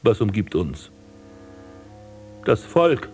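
An actor playing a vain, scornful prince and landscape gardener in a radio drama, speaking in pompous, ornate declamation. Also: male voice, lowish voice, sing-song, extremely slow, darkish tone, soft background music, exaggerated voice. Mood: brooding storytelling. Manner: pompous, declamatory, ornate old-fashioned diction. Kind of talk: literary radio drama acting, monologue and dialogue.

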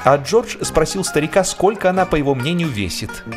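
Animated male storyteller with music underneath. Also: a little high, a little fast. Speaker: audiobook narrator, actor. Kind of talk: humorous scripted literary narration for radio.

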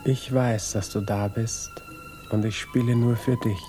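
An actor playing a tender, smooth violinist lover, gently evasive, in a 1950s German radio drama. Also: male voice, mid-range voice, fairly even intonation, measured pace, faint music behind, close to an everyday voice. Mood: tender devotion.

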